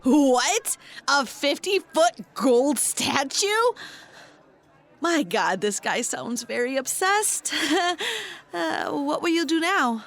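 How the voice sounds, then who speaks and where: A woman playing a playful young sidekick spy in an audio drama, informal and expressive. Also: sits high, slow pace, exaggerated voice. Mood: astonished.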